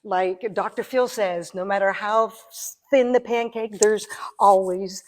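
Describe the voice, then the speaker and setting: A woman giving conversational interview answers as a guest, thoughtful and halting as she pieces her phrases together. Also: medium pitch, steady tone, darkish tone. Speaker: interview guest, amateur stage actor.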